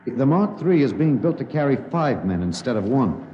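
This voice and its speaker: An earnest American radio reporter played by a man narrates in 1950s drama style. His voice is medium in pitch and his delivery grave, formal and deliberate. The old broadcast recording carries a faint layer of noise. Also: big pitch swings, muffled recording, close to an everyday voice. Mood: urgent, formal. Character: earnest network radio newsman narrating a space rescue.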